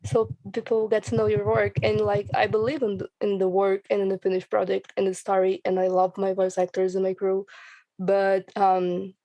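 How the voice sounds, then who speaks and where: Brazilian-accented woman with a creaky voice. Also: mid-range voice, monotone, moderate pace, mellow, no room sound, rough recording. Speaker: audio drama podcast creator and voice actor. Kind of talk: casual panel chat.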